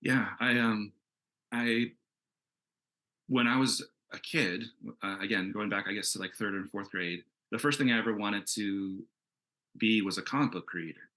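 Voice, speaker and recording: A man's voice: on the low side, normal speed, bright, forceful tone. A man talking casually and enthusiastically as a comic book creator in a panel discussion, thinking aloud as he goes.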